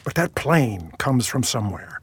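A man's voice: mid-range voice, sing-song, unhurried, husky, full character voice. An actor playing an earnest, urgent seer who warns his ruler in a fantasy audio drama, speaking insistently and dramatically. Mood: urgent, pleading.

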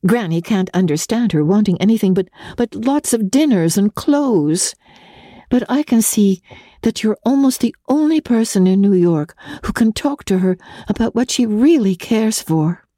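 A woman voicing an earnest, gentle young society fiancee in a dramatic audiobook reading, with hesitant, wistful enthusiasm. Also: mid-range voice, animated, moderate pace, dry recording, studio quality, pitched above the performer's own voice, close to an everyday voice.

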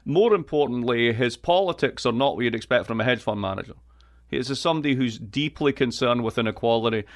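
A man with an east coast Scottish accent, a guest on an interview show, talking in a wry, joking way with quick asides. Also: medium pitch. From Scotland. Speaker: guest, economist. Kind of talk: lively podcast interview.

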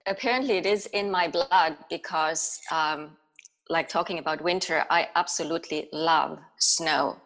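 A woman's voice: low-pitched, a little slow, a bit bright, low-quality recording. A woman from Germany, a podcast guest, telling personal stories in a casual conversational style.

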